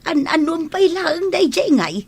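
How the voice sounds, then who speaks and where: A woman playing a doting, chatty elderly Filipino mother in a radio drama, coaxing and motherly with a husky voice. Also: extremely high pitch, very animated, unhurried, a little mellow, heavily acted. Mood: pleased, matchmaking. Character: doting elderly mother, a cheerful matchmaking senior citizen.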